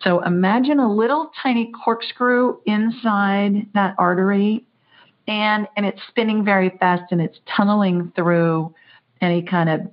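A woman hosting a call-in show, talking in a brisk, chatty, folksy way. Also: mid-range voice, fairly even intonation. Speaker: psychic show host. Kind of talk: call-in radio chat. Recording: muffled lo-fi audio.

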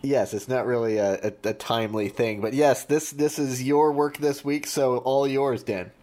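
Measured, hesitant podcast co-host man. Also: mid-range voice, steady tone, mellow. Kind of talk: casual podcast chat.

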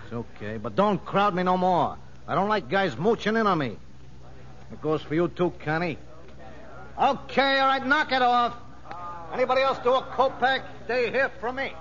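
A man's voice: high voice, lively intonation, moderate pace, fairly bright tone. An actor playing a menacing, boastful convict gang boss in a 1950s radio drama, bullying in tough-guy slang. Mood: bossy. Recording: muffled, reverberant vintage radio broadcast.

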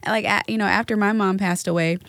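A woman on a podcast talking reflectively about grief and offering gentle advice, with many fillers. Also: medium pitch, steady tone, fast, gravelly, dry recording, studio quality. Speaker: guest. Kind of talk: podcast conversation.

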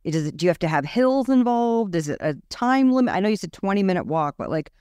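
A woman's voice: medium pitch, big pitch swings, fast talker, a little mellow, smooth, no echo, clean studio sound. A woman co-hosting a health talk show, chatty and curious, asking lots of questions. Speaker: co-host.

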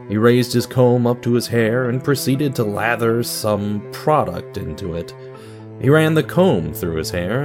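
A man narrating a scripted horror story in a measured, dramatic radio theatre style. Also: fairly low pitch. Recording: music under the voice. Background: music.

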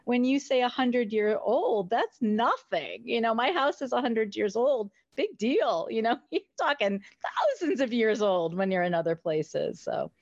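A middle-aged American woman telling her story conversationally as an interview guest, in an animated way. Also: a little high, unhurried, few pauses. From United States. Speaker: interview guest, company founder. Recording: roomy, slightly dull sound.

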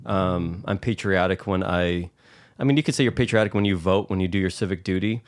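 An American man talks in a low register, recorded dry and close. He works through his points conversationally, explaining as he goes like a podcast co-host in discussion. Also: a little fast, no room sound. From United States.

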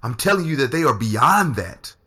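A man's voice: medium pitch, sing-song, normal speed, pushed and bright, slightly rough, exaggerated voice. A man playing an earnest lover who confides dangerous secrets in an audio drama. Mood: urgent, frank. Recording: music under the voice.

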